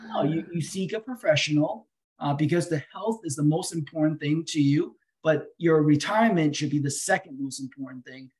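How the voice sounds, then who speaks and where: Male webinar expert, middle-aged, slightly higher voice, explanatory and halting, on a video call. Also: darkish tone, rough recording. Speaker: financial advisor guest answering questions. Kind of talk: webinar question-and-answer.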